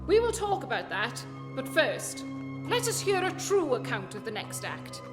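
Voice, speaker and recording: A woman as high-voiced, commanding Sherlock Holmes. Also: sing-song. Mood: stern. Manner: measured, authoritative, explanatory. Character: Sherlock Holmes, commanding, coolly confident detective. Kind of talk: festive radio play acting. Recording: long hall reverb, loud music underneath.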